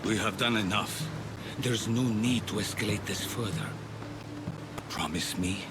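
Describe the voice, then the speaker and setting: Low, resolute rebel played by a man. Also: a little slow, loud music behind the voice, lightly acted. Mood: solemn, resolute. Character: resolute, self-sacrificing male rebel. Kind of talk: video game cutscene acting.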